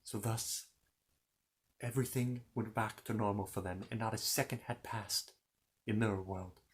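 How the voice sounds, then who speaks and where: A man's voice: on the low side, fairly even intonation, a little slow. A man telling a children's fantasy story in an informal ASMR style, rambling along with playful, courteous asides to the listener.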